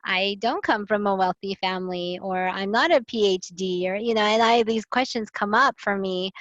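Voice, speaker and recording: A woman's voice: mid-range voice, a bit bright, dry recording. Earnest woman on a lo-fi call. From Philippines. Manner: earnest, reflective, many fillers. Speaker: guest, health and safety expert. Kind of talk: live online panel talk. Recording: dull lo-fi video call audio.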